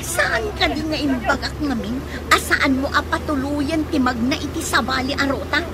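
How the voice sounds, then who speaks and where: A radio drama heroine in distress, young, bossy and urgent. Her voice is extremely high and lively in pitch. Loud outdoor effects run behind her. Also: normal speed. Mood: alarmed, urgent. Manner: urgent, exclaiming. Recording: noisy outdoor sound effects, crowd behind.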